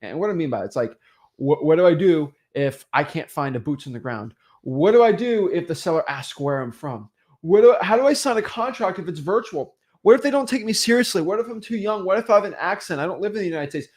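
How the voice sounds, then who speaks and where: A young man and host delivers a motivational coaching talk, pushing his points with rhetorical questions and constant "right?" check-ins. His voice sits somewhat high for a man and swings widely in pitch. The delivery is emphatic and lively throughout. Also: quick pace. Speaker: online real estate coach.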